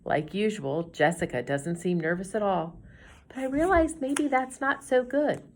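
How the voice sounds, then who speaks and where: A middle-aged woman teacher reading a children's chapter book aloud in a gentle storytelling way, sometimes hushing to a whisper. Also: mid-range voice, animated, measured pace, slight background noise.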